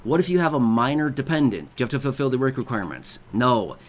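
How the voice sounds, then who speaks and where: A man's voice: mid-range voice, animated, fast. A man hosting an online video, talking casually as he explains and often checks in with his listeners. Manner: conversational, keeps checking in with the listener. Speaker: video host explaining benefits.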